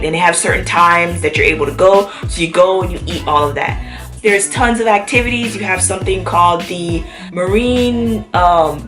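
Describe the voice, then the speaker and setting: Woman vlogger, a touch low-pitched, chatty, sick with a cough, faint music behind. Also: a bit bright. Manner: chatty, many fillers. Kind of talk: casual chat to camera.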